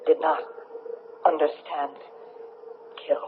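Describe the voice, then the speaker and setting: A man playing an alien, the last of its race, in a 1970s radio drama, speaking in halting, broken phrases. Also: fairly high pitch, sing-song, gravelly, full character voice. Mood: halting, insistent. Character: alien lifeform, last of its race, pleading to survive. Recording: muffled, noisy old radio broadcast.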